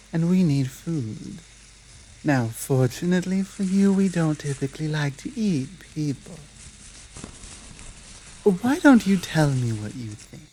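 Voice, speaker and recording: Woman playing a slow, dominant werewolf pack leader. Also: low voice, dark tone, a little hiss or hum, rough recording. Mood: menacing. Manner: deliberate, commanding, taunting. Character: dominant, menacing female werewolf pack leader guarding her home. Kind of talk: slow roleplay ASMR audio drama.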